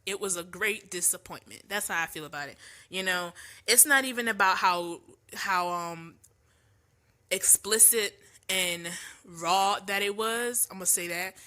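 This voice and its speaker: A woman's voice: mid-range voice, a little slow, pushed and bright. A woman hosting a podcast from home, talking casually and opinionatedly with slang and many ums, her voice a little congested from allergies. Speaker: podcast host.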